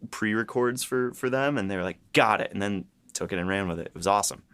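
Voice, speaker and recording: A man with a fairly low voice talks casually and with real enthusiasm, rambling as he explains things. The sound is dry, with little room around him. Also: fast, fairly bright tone, smooth, dry recording. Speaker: podcast host and episode writer. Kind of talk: casual behind-the-scenes chat.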